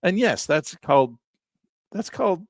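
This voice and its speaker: Older male educator, lively and exasperated, dry close recording. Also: unhurried, vocal fry, no echo. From Southern Utah. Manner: animated and exasperated, mocks critics in a high squeaky voice, drops low to grumble. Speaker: trading educator. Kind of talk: live-stream trading lesson, off-the-cuff rant.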